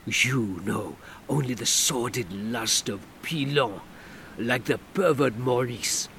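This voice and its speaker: Brute middle-aged Creole ex-soldier, played by a male narrator; contemptuous, menacing, pitched up. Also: takes it slowly, a bit bright, a little hiss or hum.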